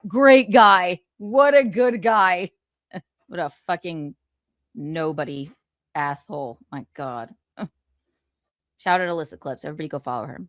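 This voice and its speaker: Low-pitched woman streamer, dry close sound. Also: measured pace, long pauses, no echo. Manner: gloating, sarcastic, theatrical emphasis. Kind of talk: casual livestream talk mixed with reading book passages aloud.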